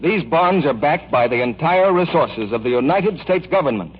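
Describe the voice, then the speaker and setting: A man's voice: fairly high pitch, lively intonation, moderate pace, husky. A man working as a radio announcer, reading a scripted 1940s public appeal. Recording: muffled, hissy old radio broadcast.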